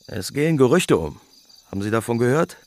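A man's voice: medium pitch, animated, brisk, darkish tone, natural voice. A man playing a gossipy, uneasy spa-town resident in a German radio play. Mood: conspiratorial, uneasy.

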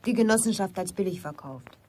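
A young woman playing a pert, impulsive city girl in a German radio drama, frank and questioning, with a husky voice. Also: medium pitch, animated, fairly quick, darkish tone. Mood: chatty.

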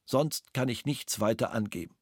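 A man's voice: mid-range voice, expressive, moderate pace, darkish tone, no room sound, close to an everyday voice. A male witness, read by an actor, giving a formal, matter-of-fact statement for a radio play. The voice is close and completely dry. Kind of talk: reading a police statement.

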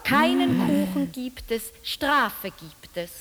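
An actress playing a mock-earnest, cruelly bitter female narrator in an experimental radio drama, reciting in a declamatory, deliberate, ironic way. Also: high-pitched, expressive, a loud crowd. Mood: gleefully cruel.

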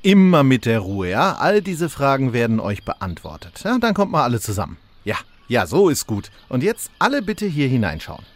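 A man's voice: medium pitch, very animated, normal speed, hardly any pauses, noisy background, close to an everyday voice. A man playing a weary, officious game master in a comic audio drama, exasperated and sardonic. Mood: brisk, commanding.

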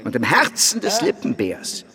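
An actor playing a solemn, fervent preacher who tells a story as a sermon in a radio play, with emphatic pauses. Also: male voice, fairly high pitch, wildly swooping pitch, crowd noise. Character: solemn, fervent male preacher telling a parable. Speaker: narrator and preacher.

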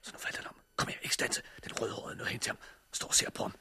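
A man's voice: sharp tone, in a whisper. An actor playing a sighted man who leads and narrates for a group of blind survivors in a 1960s radio drama. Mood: tense.

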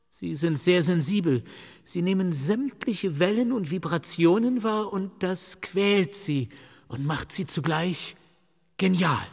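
Highish-voiced doctor in echoing hall. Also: male voice. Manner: formal, lecturing. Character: proud, explanatory clinic doctor guiding visitors. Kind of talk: radio drama dialogue. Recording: long reverberant hall echo.

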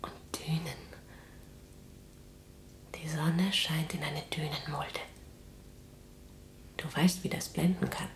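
An actress playing a weary but defiant, tender imprisoned resistance fighter in a German radio drama, speaking in a breathy, halting, intimate hush. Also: female voice, low voice, steady tone, roomy sound, a little hiss or hum. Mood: tender, wistful. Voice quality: breathy whispering. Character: exhausted, defiant, tender imprisoned resistance woman in wartime Netherlands.

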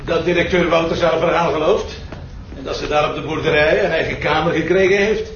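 An actor with a Flemish accent playing a confident, wry and brisk police commissioner in a TV crime drama. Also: male voice, on the high side, steady tone, a bit bright, lightly acted. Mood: reassuring. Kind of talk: naturalistic TV crime drama acting. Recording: muffled TV soundtrack with clear background noise.

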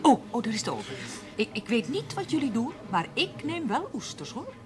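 A woman's voice: fairly high pitch, sing-song, a little fast, music in the background. An actress playing an effusive, pleasure-loving society woman in a radio drama, gossipy, gushing and theatrical. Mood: gleeful, gushing. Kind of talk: Dutch radio drama acting.

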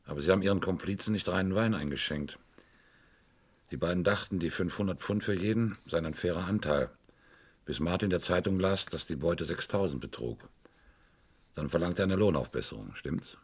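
Crime radio play: wry, shrewd police inspector, husky low voice, teasing and superior. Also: male voice, a little fast. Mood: grave, insistent. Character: shrewd, sardonic male police inspector, breezily condescending to suspects.

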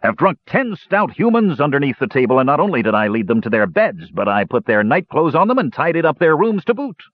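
A man voicing a boastful, jovial, hard-drinking bearded dwarf in a fantasy audiobook, with loud roaring speech. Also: fairly high pitch, very animated, brisk, no echo, narrow, tinny audio, pitched above the performer's own voice. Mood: boastful, challenging.

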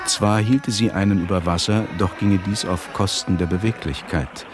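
A man playing a formal, measured chronicler, narrating a scripted radio play with rhetorical emphasis. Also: deep voice, steady tone, noisy background, natural voice. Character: formal, deliberate male chronicler of a shipwreck.

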